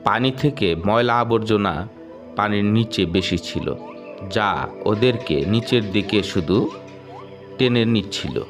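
A man narrating a children's moral story in a measured, moralizing way. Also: lowish voice. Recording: music under narration.

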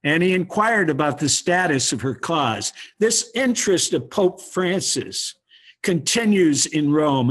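The man who gives the formal closing note at an online memorial talk, solemn and deliberate, his voice pitched a little high. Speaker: speaker on a webinar. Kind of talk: prepared formal remarks. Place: indoor room, video call.

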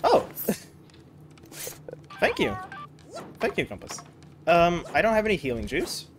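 A woman's voice: lowish voice, slow, background noise. A woman playing a team game over voice chat, exclaiming and reacting to what happens. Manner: lively, exclaiming at game events. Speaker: teammate. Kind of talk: casual gaming chat. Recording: game music behind voice. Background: game music.

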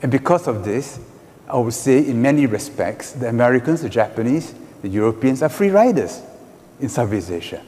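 Man, panelist, mellow tone, emphatic measured delivery, reverberant conference hall. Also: mid-range voice, animated. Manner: emphatic, measured argument with sweeping hand gestures. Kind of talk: panel discussion. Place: large conference hall stage.

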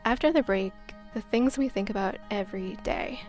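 A woman's voice: medium pitch, normal speed, darkish tone, soft background music. An American woman hosting a podcast, reading a scripted travel story as narration. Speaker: podcast host.

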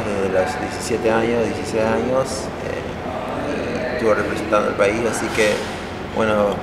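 A young man with a fairly low voice answers interview questions in an easy, relaxed way, full of little "eh" fillers. He's recorded indoors in an echoey room, with noticeable background noise and a long reverb tail. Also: vocal fry. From Argentina, raised in the United States. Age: young adult. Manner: relaxed, many "eh" fillers, laughs easily. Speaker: basketball player, interviewee. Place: indoor room, seated at a table.

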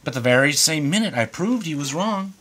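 A man reads a children's rhyming storybook aloud with a very animated, swooping pitch. His voice is bright and a bit husky. He leans on dramatic pauses and emphasis. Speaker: storybook reader.

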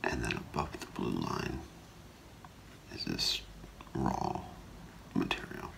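A middle-aged man whispering in a halting, hushed ASMR role play as an appraiser, breathy and gravelly, close to the mic. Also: very deep voice, expressive, slight background noise. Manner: slow, hushed, halting, with long pauses. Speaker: ASMR performer role-playing a gemologist. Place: small curtained room indoors.

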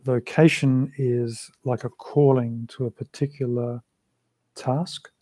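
Calm, measured older man. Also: mid-range voice, dry recording. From Australia. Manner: measured, thoughtful, unhurried. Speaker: interview guest, Buddhist practitioner. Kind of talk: reflective interview answers. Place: small room with a shrine, indoors. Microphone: video call.

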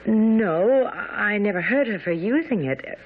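A woman's voice: mid-range voice, lively intonation, unhurried, close to an everyday voice. An actress playing a polite, anxious young English lady, a detective's client in a radio drama. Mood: earnest, surprised. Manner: genteel, earnest. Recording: dull, slightly noisy radio sound.

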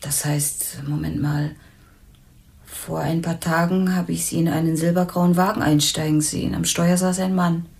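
A young female witness in a crime radio play. She is cooperative but hesitant, recalling things in short phrases in a lowish voice. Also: steady tone, moderate pace, gravelly, a little mellow. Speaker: actress in a radio drama.

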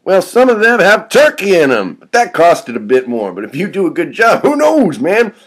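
A man plays a brash teenage tough in a told story, his voice pushed higher. He swaggers and swears, leaning on every threat with an aggressive edge. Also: wildly swooping pitch, normal speed, exaggerated voice. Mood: coaxing. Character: cocky aggressive teenage male gang recruiter.

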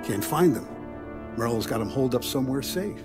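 An actor playing a calculating mob boss in a video game, speaking in a measured, businesslike, authoritative way. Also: male voice, medium pitch, animated, music in the background, lightly acted. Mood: grave, scheming. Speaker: crime boss. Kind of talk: cinematic video game dialogue.

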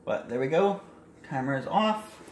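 A young man with a slightly high voice talks his way through a home cooking demo, rambling and correcting himself. He's filmed on a phone in an echoey kitchen, with faint clatter of dishes behind him. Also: lively intonation, moderate pace, noisy background. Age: young adult. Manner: rambling, self-correcting, chatty asides. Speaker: cooking vlogger. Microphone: handheld phone camera.

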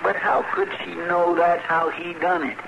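High-voiced folksy Western sidekick. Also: male voice, level tone, a little slow, creaky. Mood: puzzled. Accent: American rural Western twang. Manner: folksy, fretful, simple. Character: anxious, folksy marshal's sidekick, adult man. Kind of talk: 1950s radio drama acting. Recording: noisy 1950s radio recording.

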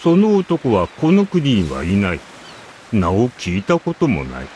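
Low-voiced, stern old man. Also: wildly swooping pitch, unhurried, a little mellow, a little hiss or hum. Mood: guarded. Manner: curt, solemn, suspicious. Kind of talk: Japanese anime game voice acting.

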